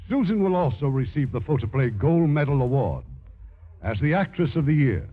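Old time radio host guy, formal showman delivery, lively pitch swings, dull sound w faint music. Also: slightly rough. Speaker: host and producer of radio theater. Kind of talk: 1950s radio scripted narration.

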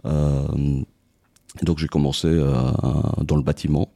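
A man in his forties from Tunisia, a business owner talking about his career as a podcast guest, halting often with fillers and restarts. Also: a bass voice, fairly even intonation, dark tone, no room sound, clean studio sound. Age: 40s. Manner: hesitant, many fillers and restarts. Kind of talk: casual interview.

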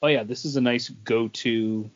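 A man's voice: medium pitch, fairly even intonation, normal speed, highs cut off. A man co-hosting a podcast, chatting casually with the others. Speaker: podcast co-host. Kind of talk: casual chat.

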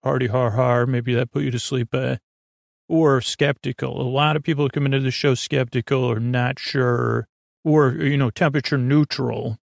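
A man hosting a bedtime podcast, drifting through meandering, digressive talk in a soft, unhurried, self-deprecating way. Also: mid-range voice, steady tone, creaky, dry recording, studio quality. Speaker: podcast host.

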